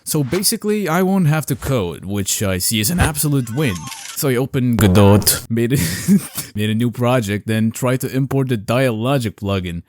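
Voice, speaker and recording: A man's voice: medium pitch, animated, moderate pace, darkish tone, slight background noise. Casual, self-deprecating man narrating. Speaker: video creator narrating a devlog.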